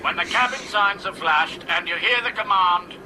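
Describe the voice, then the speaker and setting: An actor playing an orderly male airline chief steward in a radio drama, giving measured, formal instructions. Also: high voice, sharp tone, a little hiss or hum, low-quality recording. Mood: formal, firm. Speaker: cabin steward.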